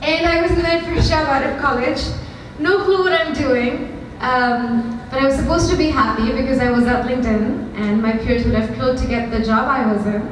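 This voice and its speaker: A woman's voice: high-pitched, normal speed, hardly any pauses. A young woman from India with an Indian accent, giving a candid, conversational talk to a live audience with frequent ums. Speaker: startup founder giving a talk. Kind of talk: storytelling lightning talk. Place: event hall with audience. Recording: very echoey hall from far off, noisy.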